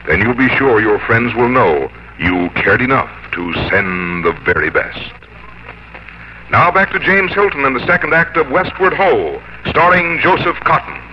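An American man announcing in polished, measured 1950s radio commercial style. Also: medium pitch, very animated. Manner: polished, measured sales delivery. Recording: muffled, noisy old radio broadcast.

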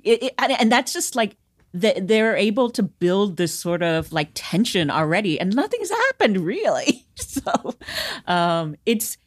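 A woman co-hosting a casual film review chat, animated, laughing often and swearing casually. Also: medium pitch, measured pace, no room sound. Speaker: film podcast co-host.

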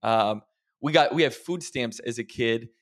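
A man with an ordinary mid-range voice talks things through in a relaxed, reflective way, often turning to his listeners to encourage them. He sounds like a health coach in an easygoing podcast conversation. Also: mid-range voice, measured pace. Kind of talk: casual podcast chat.

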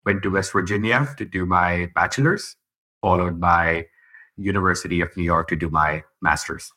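Low-voiced man from India, husky and bright. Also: normal speed. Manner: relaxed, laughs easily, thinks aloud. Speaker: podcast guest. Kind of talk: casual interview chat.

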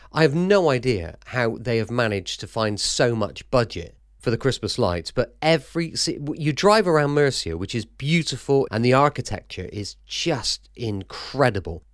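A man's voice: medium pitch, no echo, clean studio sound. A man chatting casually as he introduces his radio show.

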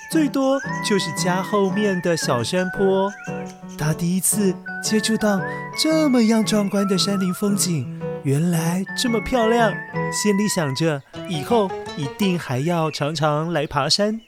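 A man's voice: high voice, unhurried, over music. A man with a Taiwanese accent telling a story expressively, speaking directly to young children.

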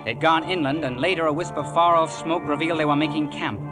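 A man's voice: a little high, fairly even intonation, fast, music in the background, lightly acted. A man playing an adventurer who recounts his past peril in dramatic scripted radio narration. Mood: suspenseful.

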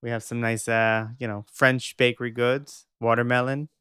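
A young man hosting a podcast, giving a measured, reflective narration and then interviewing a guest. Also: medium pitch, little pitch movement, sharp tone, smooth, no room sound. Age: young adult. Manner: reflective, measured delivery with dramatic pauses. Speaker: podcast host.

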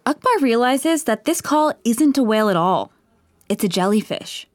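A woman's voice: on the high side, expressive, moderate pace, no echo. A woman reading scripted narration for an audio comedy drama. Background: clear music.

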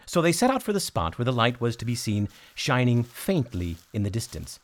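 A man narrating a scripted storybook tale as storyteller, in an expressive, measured way. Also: mid-range voice.

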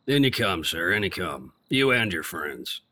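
A man playing a gruff, stubborn ex-prizefighter gatekeeper in an audio drama, with rough working-class speech and grammar. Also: low-pitched, very animated, measured pace, sharp tone, full character voice. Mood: impressed, hearty.

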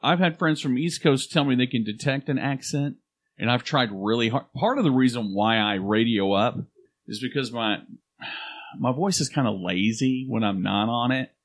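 A man's voice: medium pitch, moderate pace. A man with an Oklahoma accent co-hosting a casual podcast, chatty and playful, given to slipping into other voices. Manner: playful, slips into put-on accents. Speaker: podcast co-host.

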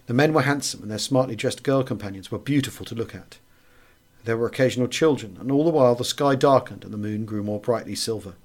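A man's voice: medium pitch, fast talker, a little mellow. Man reading a literary memoir. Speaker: audiobook narrator. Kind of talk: scripted literary narration of a wartime memoir.

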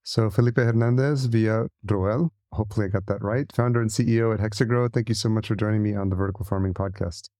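A man with a fairly low, mellow voice working as a podcast host. He talks in a relaxed conversational register, recorded close and dry. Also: quick pace, few pauses, dry recording. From El Salvador heritage, lives in the US. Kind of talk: casual interview chat and sponsor read.

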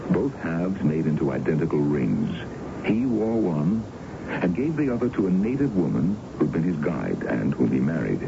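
An actor playing a suave, confident businessman in a 1970s American radio drama, with a smooth, persuasive manner. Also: a bass voice, sing-song, moderate pace, full character voice. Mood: assured, persuasive. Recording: dull, noisy 1970s radio broadcast.

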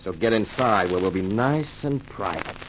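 An actor playing a polite, coolly menacing gunman in a 1950s radio drama, quiet and controlled. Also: lowish voice, animated, moderate pace, close to an everyday voice. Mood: cool menace. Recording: noisy old radio drama recording.

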